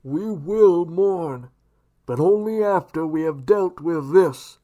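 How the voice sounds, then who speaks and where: A man playing a grim golden-age vigilante in a radio drama, with a high but dark, mellow voice. He speaks very slowly, in a formal and grave manner. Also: lively intonation. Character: Sandman, grim 1940s masked male vigilante.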